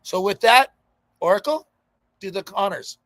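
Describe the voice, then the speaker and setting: A man hosts a casual live sports podcast from a backyard setup, keeping the talk loose and joking. His voice sits fairly high. The sound is dry and close. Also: animated, normal speed, a bit bright, dry recording. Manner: loose, joking sign-off banter. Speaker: podcast host. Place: backyard studio.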